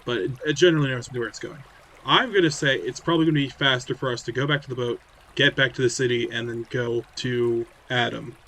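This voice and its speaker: A man's voice: mid-range voice, fairly even intonation, fairly quick, fairly bright tone, poor audio. A man playing a tabletop roleplaying game, talking casually through his character's plans.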